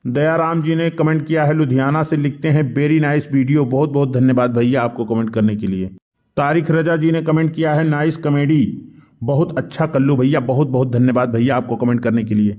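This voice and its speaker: A man's voice: medium pitch, fairly quick, muffled recording. Man host reading out viewer comments. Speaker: channel host.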